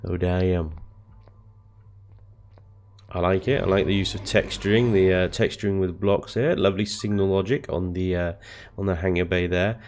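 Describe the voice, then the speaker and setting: Low-voiced hoarse man talking through a game. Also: steady tone, moderate pace, long pauses, a little mellow. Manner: chatty, self-deprecating, frequent ums and chuckles. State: hoarse, rough voice from flu. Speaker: gaming video host. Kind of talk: casual gameplay commentary.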